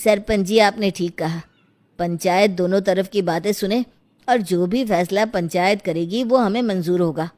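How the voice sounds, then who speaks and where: A woman's voice: mid-range voice, normal speed, darkish tone, lightly acted. A woman playing an aggrieved, stubborn male head of a household in a village cartoon story. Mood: deferential. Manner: accusing, emphatic.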